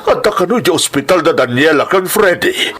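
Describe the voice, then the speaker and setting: Man acting stern father with wide-swinging pitch. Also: lively intonation, normal speed, a bit bright, slightly rough. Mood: worried. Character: stern, worried older father and senyor. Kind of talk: Ilocano audio drama dialogue.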